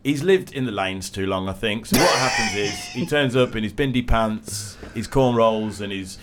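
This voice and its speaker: A man's voice: lowish voice, moderate pace, heavy background noise. A middle-aged man from the north of England, co-hosting a radio show in a studio and telling rambling, jokey stories in casual banter. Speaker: radio co-host, station boss. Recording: music playing behind.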